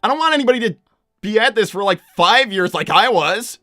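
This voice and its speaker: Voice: medium pitch, expressive, brisk, a bit bright, no room sound, close to an everyday voice. An earnest young male hedgehog hero, acted out by a live roleplayer, pleading in loose, stammering speech. He is stubborn and insistent, in a close, dry recording with faint music behind. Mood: urgent pleading. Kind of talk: improvised live character roleplay.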